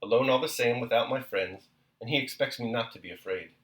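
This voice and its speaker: A man's voice: mid-range voice, sing-song, normal speed, lightly acted, poor audio. A man voicing a petulant young pregnant princess who complains and pleads tearfully. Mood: querulous. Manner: querulous, pleading.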